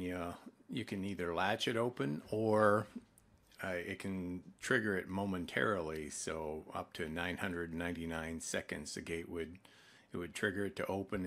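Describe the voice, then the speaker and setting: A man narrating an informal product demonstration in a conversational, explanatory way, pausing mid-sentence. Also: deep voice, a bit bright. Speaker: product presenter for an online retailer.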